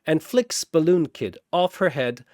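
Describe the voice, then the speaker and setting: A man's voice: on the high side, lively intonation, a little slow, darkish tone, no echo, studio quality. A man giving theatrical, scripted narration of a fantasy battle story. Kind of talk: dramatic scripted fan-fiction battle narration.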